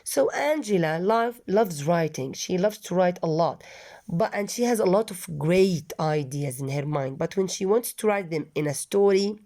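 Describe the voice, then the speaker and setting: A woman teaching English reads a children's story aloud in a low, mellow voice. She stops often to explain words, rephrasing each idea patiently with simple synonyms, like a teacher walking a class through the text. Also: expressive, normal speed.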